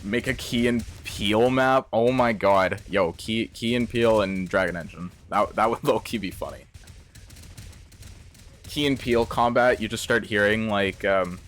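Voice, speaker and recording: Slangy young man streaming, low voice. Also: moderate pace, a bit bright, a little hiss or hum. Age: 20s. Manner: slangy, reads chat aloud, swears and laughs often. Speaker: game developer streamer. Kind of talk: casual live stream chat.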